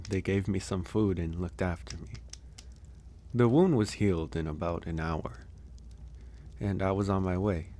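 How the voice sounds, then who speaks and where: A gentle, solitary werewolf acted by a man speaks in a deep, soft voice for an ASMR audio roleplay. He takes his time, with slow, hesitant phrasing, sighs and pauses. A little noise sits behind the voice. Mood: sheepish, amused. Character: gentle solitary male werewolf caring for an injured stranger.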